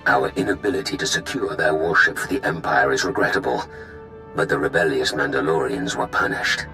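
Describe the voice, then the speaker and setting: An actor playing a menacing Sith Lord in a video game, with a cold, formal, deliberate delivery. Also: male voice, a bass voice, animated, bright, forceful tone, faint music behind, exaggerated voice. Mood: grim, resolute. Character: menacing male Sith Lord reporting coldly to his superior. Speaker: villain.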